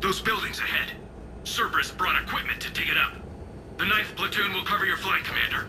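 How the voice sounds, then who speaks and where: High, urgent young turian officer, alien filter, noisy battlefield behind. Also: male voice, lively intonation, fairly quick. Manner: urgent, clipped military. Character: young earnest turian male lieutenant.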